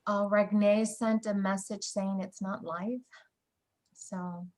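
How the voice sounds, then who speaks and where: A woman's voice: medium pitch, steady tone, takes it slowly, darkish tone. A woman hosting a video panel, hesitant and full of fillers as she introduces guests. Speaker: host, panel moderator. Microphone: video call.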